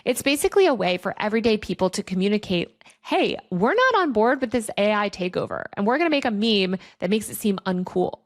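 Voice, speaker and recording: A woman's voice: medium pitch, expressive, fairly quick. Female host, mid-range voice, opinionated and conversational video commentary. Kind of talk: video essay commentary on online culture.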